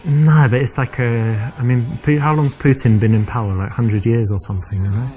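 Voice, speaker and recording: An English man with a London accent who talks with brash, blustering confidence. He plays a boastful date in a live-action dating game, then steps out to give short tips to the player. His voice sits at an everyday middle pitch. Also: steady tone, fairly quick, mellow. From England. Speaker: actor in a dating sim, also explaining the lesson. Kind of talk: scripted acted dialogue, with coaching asides.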